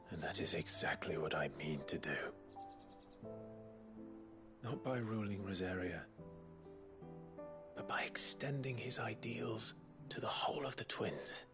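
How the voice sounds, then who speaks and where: Earnest fantasy game hero: low, slow, solemn, resolute, over prominent music. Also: male voice, animated. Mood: solemn resolve. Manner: solemn, measured, determined. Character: earnest, resolute young nobleman and freedom fighter. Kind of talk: dramatic video game acting.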